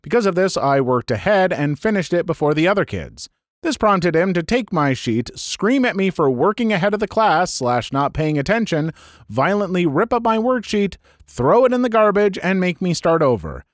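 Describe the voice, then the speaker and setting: Expressive man reading stories aloud. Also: high voice, normal speed, dry recording, clean studio sound. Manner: expressive, swings between loud and hushed. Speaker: podcast host reading stories. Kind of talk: story reading with commentary.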